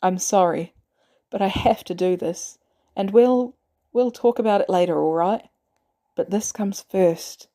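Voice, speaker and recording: A woman voicing a gruff male soldier on the run in an audiobook, speaking bluntly in clipped, urgent phrases. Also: medium pitch, measured pace, dark tone, natural voice. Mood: regretful.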